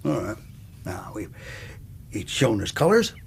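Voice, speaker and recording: An actor playing a middle-aged, willful, sardonic rich uncle with an American Southern accent in a radio drama. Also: male voice, fairly low pitch, huge pitch swings, a little slow, vocal fry, slight background noise, exaggerated voice. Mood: bitter, goading. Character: hard-drinking, willful rich ex-Confederate uncle, gruffly sardonic.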